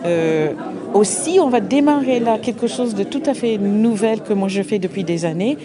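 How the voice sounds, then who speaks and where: A middle-aged woman, an English speaker, answering interview questions at an open day, halting and searching for words with frequent restarts. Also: medium pitch, expressive. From United States. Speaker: association representative. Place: busy open-day event. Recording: crowd noise behind. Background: crowd chatter.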